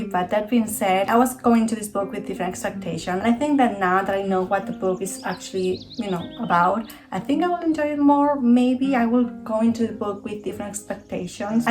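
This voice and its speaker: A woman's voice: medium pitch, poor audio. A woman from Spain with a Spanish accent, talking casually and eagerly as a book vlogger, often correcting herself. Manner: chatty, enthusiastic, self-correcting. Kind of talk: casual book review talk.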